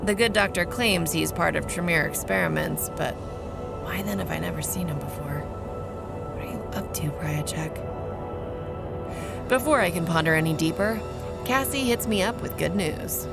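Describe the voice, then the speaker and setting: Bossy sardonic vampire girl, very creaky lowish voice, audio drama, music behind. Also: expressive, normal speed, long pauses. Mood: sardonic narration. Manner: sardonic, commanding. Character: pushy, foul-mouthed teenage-looking female vampire sorcerer. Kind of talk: audio drama acting with first-person narration.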